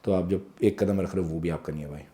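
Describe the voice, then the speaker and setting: A man in his thirties, a podcast guest, telling stories in a casual, animated way, swearing freely and acting out the people in them. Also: deep voice, quick pace. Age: 30s. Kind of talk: casual storytelling, mixing Hindi and English. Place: podcast studio.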